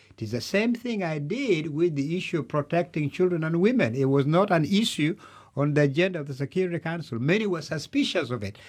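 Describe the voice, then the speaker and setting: Measured man in formal interview. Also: on the high side. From Uganda. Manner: measured, deliberate, emphatic lists with pauses. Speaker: candidate being interviewed.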